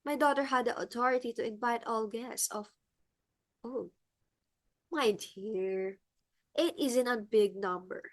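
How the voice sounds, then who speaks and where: Woman acting as a flustered posh hostess mom, really high voice, bossy n dramatic, stage comedy style. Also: a little slow, pauses often. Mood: gushing, insistent. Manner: theatrical, emphatic, exclaiming and repeating herself. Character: flustered, imperious, melodramatic society hostess mother.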